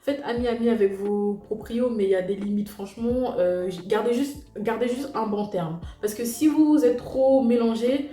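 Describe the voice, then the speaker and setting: A woman's voice: fairly high pitch, moderate pace, hardly any pauses. A young woman from French Guiana casually telling a personal story to her viewers in a slangy, chatty way full of fillers and asides. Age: young adult. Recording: echoey room with loud music behind.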